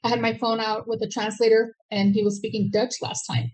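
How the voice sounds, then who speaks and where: A woman's voice: on the high side, flat delivery, a little fast, a little mellow, rough recording. A woman speaking casually as an interview guest, recounting her own experience. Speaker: guest, scam victim. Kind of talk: casual personal account.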